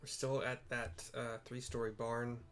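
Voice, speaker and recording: A man's voice: medium pitch, level tone, a bit bright. A man casually narrating a game playthrough, often stumbling and correcting himself as he goes. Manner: halting, self-correcting read-aloud. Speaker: gameplay video host. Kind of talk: casual game playthrough, reading quest dialogue aloud.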